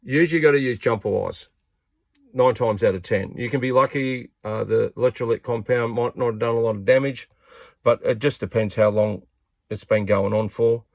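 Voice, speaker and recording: A man's voice: mid-range voice, a little fast, vocal fry, no echo. A man giving a casual hands-on repair tutorial in a plain-spoken, matter-of-fact way.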